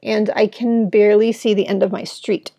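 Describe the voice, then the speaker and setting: A woman hosting her own podcast, talking in an earnest, rambling way with a gravelly, smoke-irritated throat. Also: mid-range voice, measured pace, mellow, dry recording. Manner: earnest, rambling, with frequent "right?". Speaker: podcast host. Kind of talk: reflective solo podcast talk. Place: at home indoors.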